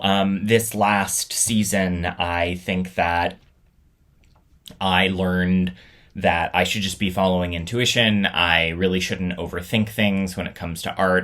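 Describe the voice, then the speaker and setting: Male host, low pitch, crisp bright tone, casual and reflective unscripted talk. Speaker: host of a storytelling show.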